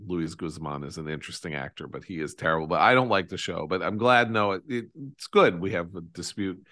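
A man hosting a casual chat, talking with enthusiasm in long digressions that trail off quietly. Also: sits low, a little fast, no echo, studio quality.

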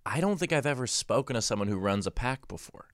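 A man's voice: medium pitch, lively intonation, fast, no room sound. A man hosting a casual interview show in a studio, talking conversationally and reworking his sentences as he goes. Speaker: podcast host and interviewer.

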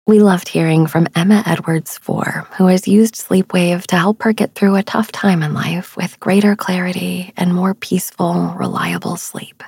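A very breathy woman with a lowish voice and a touch of gravelly fry speaks in a hushed tone that often nears a whisper. She hosts a sleep meditation podcast with soft, soothing narration. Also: fairly even intonation, normal speed, few pauses, dry recording, studio quality.

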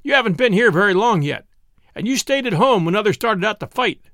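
A man's voice: high-pitched, very animated, normal speed, fairly bright tone, no echo, voice pushed up, exaggerated voice. A man playing a sulky young camp cook who snarls and sneers at his mess-mates. He keeps the voice raised and swooping, and the sound is dry and close. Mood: defiant. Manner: snarling, sarcastic.

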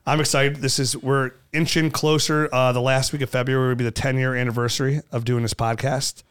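A man's voice: medium pitch, little pitch movement, brisk, a bit bright, smooth. A man hosting a casual podcast while sick with a cold, talking in an easygoing, rambling way and cracking jokes. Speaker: podcast host.

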